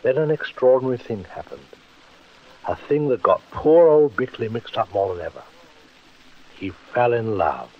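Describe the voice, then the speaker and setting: A man's voice: mid-range voice, lively intonation, moderate pace, dull audio, lightly acted. A man playing a wry, urbane storyteller in a 1940s radio mystery, droll and confiding, on an old recording. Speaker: narrator. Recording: old band-limited radio recording with loud music.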